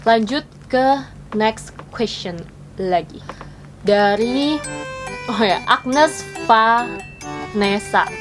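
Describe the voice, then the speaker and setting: A woman's voice: on the high side, very slow, pauses often. A 21-year-old woman from Indonesia chatting casually in a Q&A vlog, mixing English phrases into her talk. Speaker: vlogger. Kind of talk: casual Q&A chat while snacking. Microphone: home microphone. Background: music.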